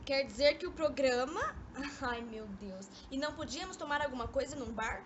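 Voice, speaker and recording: Radio drama: scornful, elegant young Brazilian woman, acted by a woman, high-pitched, exasperated, noisy amateur recording. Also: lively intonation, moderate pace, lo-fi sound. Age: young adult. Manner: mocking, exasperated. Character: elegant, scornful young woman mocking her former lover.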